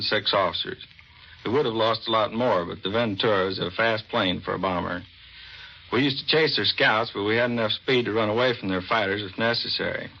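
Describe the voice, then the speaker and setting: An American man, a navy commander, giving a plain, matter-of-fact scripted interview on 1940s radio. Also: fairly low pitch. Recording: dull vintage 1940s radio recording.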